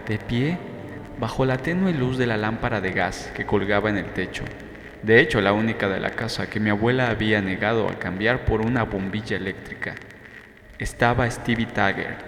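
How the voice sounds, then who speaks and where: A man's voice: on the low side, normal speed, a bit bright, faint music behind. A man reading a novel as an audiobook narrator, in scripted first-person storytelling. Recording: echoey reverberant room, faint background noise.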